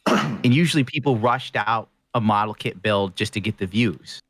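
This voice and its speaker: A man's voice: fairly low pitch, moderate pace, fairly bright tone, dry recording, clean studio sound. A man guest on a hobby podcast, talking casually with blunt, profane jokes. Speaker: guest painter and video maker. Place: small room.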